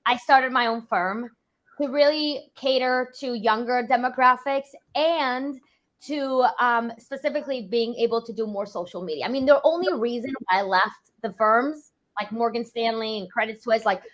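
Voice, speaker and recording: A young woman from Europe, a financial planner, chatting quickly and casually with lots of "like" fillers. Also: a little high, fairly bright tone. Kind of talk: casual interview chat.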